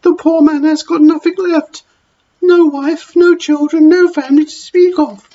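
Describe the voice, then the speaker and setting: A man voicing a gushing, soft-hearted older female dinner lady who talks in a high, fretful flutter. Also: fairly even intonation, unhurried. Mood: distraught. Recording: dull lo-fi recording.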